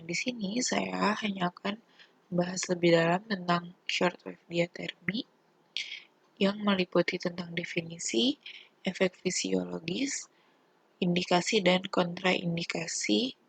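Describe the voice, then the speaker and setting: A woman's voice: on the low side, fairly even intonation, a little slow, gravelly, husky, dry recording, low-quality recording. A young woman with an Indonesian accent giving a formal, methodical student presentation. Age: young adult. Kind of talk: student presentation explaining a topic.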